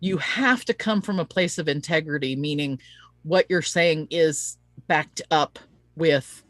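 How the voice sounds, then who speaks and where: A woman's voice: sits low, measured pace, fairly bright tone, no room sound. A woman hosting a conversational interview, talking in an emphatic way and given to tangents. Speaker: interview host.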